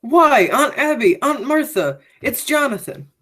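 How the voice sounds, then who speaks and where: A woman's voice: sits high, expressive, measured pace, a bit bright, exaggerated voice. A teenage girl playing a sinister criminal man in a school radio play, speaking in grandiose, theatrical phrases. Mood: insistent. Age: teens. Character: sinister, theatrical criminal nephew returning home.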